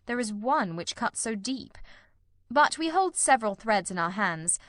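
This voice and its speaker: A woman voicing a shrewd, composed gentleman detective in a storybook, formal, measured and authoritative. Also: fairly high pitch, no echo, clean studio sound.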